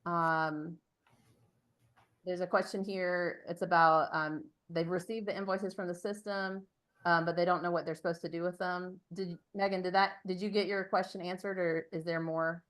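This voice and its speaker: A woman with a rather low voice moderates an informal meeting. She relays participants' questions and checks in with them in a conversational way. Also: flat delivery, normal speed, a bit bright. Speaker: moderator reading out audience questions.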